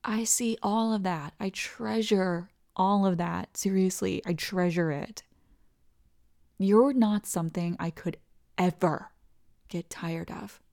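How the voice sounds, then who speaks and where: A tender, devoted young girlfriend, voiced by a woman in an ASMR roleplay, speaking slowly and reassuringly. Her soft voice often drops into whispers and carries a gravelly vocal fry. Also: mid-range voice.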